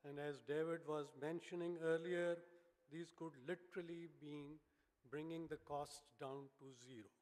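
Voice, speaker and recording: Executive keynote: hoarse male speaker, Pakistani English accent, slightly raised pitch, frequent throat-clearing, echoing hall. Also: darkish tone. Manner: clears his throat often, measured phrasing. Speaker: banking executive giving a keynote. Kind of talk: formal conference speech. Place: large hotel conference hall. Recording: reverberant hall.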